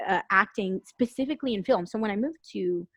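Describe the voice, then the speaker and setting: A woman from Puerto Rico, a guest on a podcast and an actor, telling personal stories candidly, animated and emotional, acting out the voices she quotes. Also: mid-range voice, brisk, slightly rough, no room sound. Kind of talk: candid conversational storytelling.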